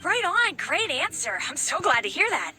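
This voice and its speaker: A woman's voice: very high voice, big pitch swings, moderate pace, over music. A woman voicing a giant talking flower that guards a hall, friendly and easygoing, with casual slang. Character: giant talking flower, cheerful laid-back gatekeeper. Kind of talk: video game dialogue. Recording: game audio with clear background noise.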